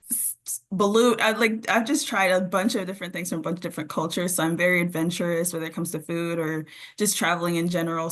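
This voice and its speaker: A woman's voice: fairly low pitch, fairly bright tone. A woman in her twenties from Jamaica, a graduate student chatting casually and upbeat. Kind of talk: casual conversation.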